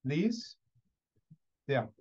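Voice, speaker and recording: A man's voice: a little high, big pitch swings, very slow, a little mellow, slightly rough. A man hosting a video call, calling on people by name in brief, polite turns. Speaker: host. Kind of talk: moderating introductions on a video call. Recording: muffled video call audio.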